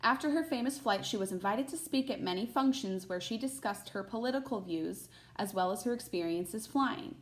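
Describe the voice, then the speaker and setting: A woman's voice: mid-range voice, animated, normal speed, hardly any pauses, rough recording. A woman teacher reading a children's picture book aloud expressively, with short asides to her listeners.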